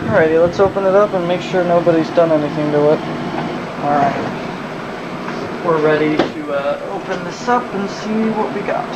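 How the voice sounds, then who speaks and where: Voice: medium pitch, moderate pace, lo-fi sound. A hobbyist muttering casually and with some swearing while giving hands-on commentary on vintage electronics, in an offhand, talking-to-self way. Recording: noisy room with loud background hum.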